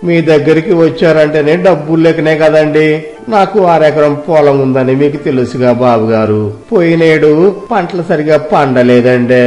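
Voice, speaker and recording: A man's voice: mid-range voice, darkish tone, pitched below the performer's own voice, close to an everyday voice. A man voicing a poor, humble village farmer in a story, speaking meekly and deferentially as a pleader. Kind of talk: scripted Telugu moral story dramatization. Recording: roomy echo, music behind.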